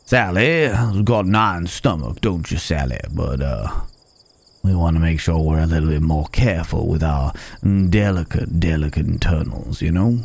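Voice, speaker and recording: A man's voice: a bass voice, moderate pace, gravelly, a little mellow, dry recording, full character voice. A man playing a wry, caring cowboy stranger in an ASMR Wild West roleplay, talking in folksy, rambling asides, with crickets behind him. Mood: easygoing, reassuring. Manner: folksy, rambling, murmured asides to horse and companion.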